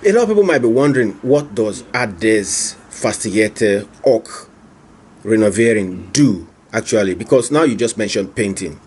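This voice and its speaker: A man's voice: lowish voice, lively intonation, moderate pace, darkish tone, slight background noise. A man interviewing a business owner, asking questions and often repeating them. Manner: probing, repeats his questions. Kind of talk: on-camera interview.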